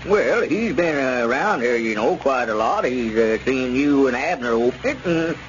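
Shifty old rural Southern squire, played by a male actor: folksy, hemming, radio comedy, dull recording with clear noise. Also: mid-range voice, expressive, normal speed, lightly acted. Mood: probing, insinuating. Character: shifty old small-town squire, wheedling and suspicious. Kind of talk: 1930s-40s radio comedy acting. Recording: muffled, noisy old radio broadcast.

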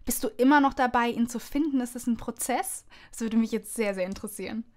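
A woman's voice: on the high side, fast talker, a little mellow. A woman hosting an interview podcast in a personal, conversational style, with an effusive, gushing manner. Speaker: podcast host.

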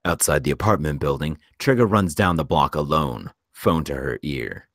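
Very deep-voiced male script narrator. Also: expressive, moderate pace, no room sound, clean studio sound. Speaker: narrator reading stage directions. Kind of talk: scripted narration for a table read.

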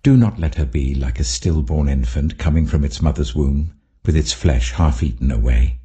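A man's voice: rumbling low, moderate pace, mellow, slightly rough, pitched below the performer's own voice, full character voice. A man voicing a humbled man earnestly pleading for mercy in scripture narration. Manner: earnest, beseeching.

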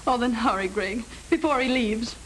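A woman's voice: on the high side, moderate pace, natural voice, rough recording. An American actress playing a lovestruck, devoted young woman who implores earnestly in a 1930s film melodrama. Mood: devoted, urgent. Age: young adult. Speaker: film actor. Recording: hissy 1930s film soundtrack.

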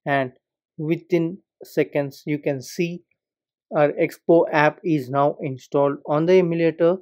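A man talks through a coding tutorial over his screen recording. His voice sits a little high, and he moves from step to step in a calm, explanatory way. He keeps coming back to the word "particular".

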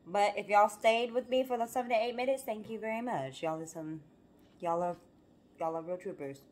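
A young woman vlogging casually to her phone camera at home, talking emphatically and a little hoarse from rehearsals. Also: mid-range voice, normal speed. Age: young adult. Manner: animated, emphatic motivational talk. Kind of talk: casual vlog talk to camera. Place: home living room, then car. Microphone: phone camera held close.

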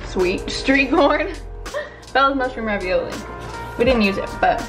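A woman in her twenties, talking casually and excitedly to a handheld camera while touring a rental house. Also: on the high side, rough recording. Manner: bubbly, excited, filler-heavy. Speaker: travel vlogger. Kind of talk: casual vlog chat. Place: rental house indoors and forest outdoors. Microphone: handheld camera at arm's length.

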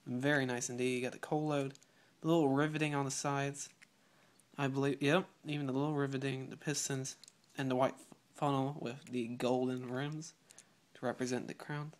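A teenage boy chatting eagerly through a toy unboxing while getting over a cold, often clearing his throat, filmed on a phone at home. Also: mid-range voice. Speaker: toy reviewer. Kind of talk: casual unboxing review. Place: home room with patterned rug. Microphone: phone camera held close. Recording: clear crinkling packaging noise. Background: loud crinkling plastic packaging.

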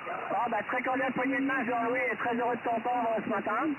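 A man's voice: extremely high pitch, flat delivery, a little fast. A man talking as an amateur radio operator, hesitant and casual, over a radio link. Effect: single-sideband radio transmission. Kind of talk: casual ham radio contact with spelled callsigns. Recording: narrow, noisy shortwave-style satellite radio link.

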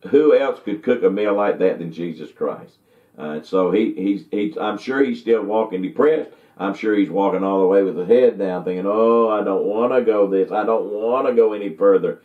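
A man's voice: fairly low pitch, normal speed. A man gives a folksy, rambling Bible lesson to the camera from a study, with many restarts and fillers. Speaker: Bible teacher. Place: indoor study with bookshelves. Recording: tinny, echoey, from far off.

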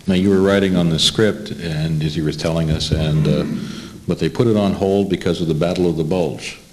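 A man's voice: sits low, steady tone, fairly quick, low-quality recording. An American man, middle-aged or older, asking questions conversationally as a panel interviewer. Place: indoor room, panel table.

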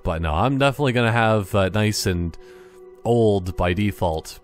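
A man's voice: fairly low pitch, moderate pace, faint music behind. A man giving casual, jokey gameplay commentary, thinking aloud and wandering off on tangents. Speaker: gaming YouTuber.

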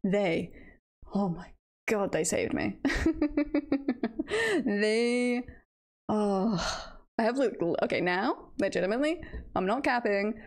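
A woman livestreamer chatting casually and telling stories in a giggly, self-deprecating, halting way. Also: medium pitch, animated, a little mellow. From United Kingdom. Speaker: livestreamer, VTuber host. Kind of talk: casual livestream chat, storytelling.

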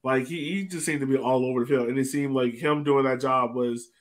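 A man's voice: mid-range voice, steady tone, brisk. A man hosting a casual sports discussion over a video call. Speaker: podcast host. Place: indoor room on a video call. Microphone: video call microphone.

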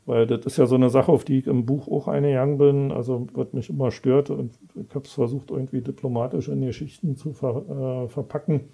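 A man, a guest author talking in a relaxed, rambling way with many fillers, on a lapel mic in a studio. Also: mid-range voice, brisk, creaky, few pauses, husky. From Berlin, East Germany. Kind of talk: relaxed conversational interview. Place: studio set with brick wall.

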